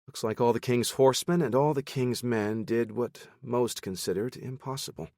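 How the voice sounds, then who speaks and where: A man's voice: mid-range voice, moderate pace, a little mellow, no room sound, studio quality, voice pushed up, natural voice. A man voicing a matter-of-fact female engineer who speaks in technical terms.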